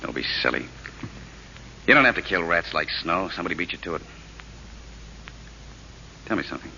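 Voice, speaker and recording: A tough gangster in a vintage radio play. His voice is extremely low and sharp-edged, with clipped slang and a guarded air. The recording is dull, with some hiss. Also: animated, normal speed, vocal fry, full character voice. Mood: hard, dismissive. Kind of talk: 1940s radio drama acting.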